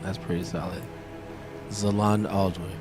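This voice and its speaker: A man with a low voice hosts a casual game stream. He reads on-screen text and comments informally, half to himself. Game music plays clearly underneath. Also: little pitch movement, a little slow. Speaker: game streamer, show host. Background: video game music.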